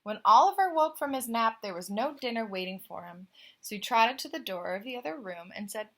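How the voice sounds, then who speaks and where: Woman doing storytime for little kids, really bright voice, expressive reading with chatty asides. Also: on the high side, fairly quick. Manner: animated, questioning asides to young listeners. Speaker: storyteller reading a picture book aloud. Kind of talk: expressive children's read-aloud with chatty asides.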